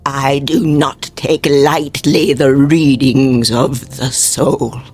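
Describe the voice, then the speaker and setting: An actress playing a solemn, cryptic fortune teller in a radio drama, speaking in formal mystical pronouncements. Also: female voice, rumbling low, steady tone, slow, darkish tone, faint music behind. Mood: stern, guarded.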